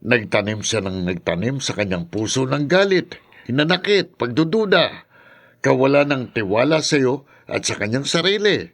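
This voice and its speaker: Casting: a gentle, wise friend with a mid-range voice, calm and consoling. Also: male voice, medium pitch, moderate pace. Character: loyal middle-aged male friend, gentle and wise. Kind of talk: audio drama acting.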